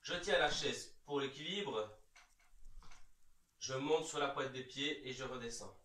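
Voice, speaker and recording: A man in his thirties giving calm, reassuring step-by-step instructions as an exercise coach, recorded in a large bare room from several metres away. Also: medium pitch, measured pace. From Belgium. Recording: echoey room, distant microphone.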